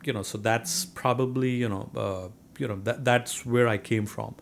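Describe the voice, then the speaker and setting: A man's voice: mid-range voice, steady tone, normal speed, gravelly. Middle-aged Indian-accented man, earnest interview guest, mid-range voice, slight background hiss. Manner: earnest, frequent "you know" and "right?". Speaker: real estate investor guest. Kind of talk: conversational interview.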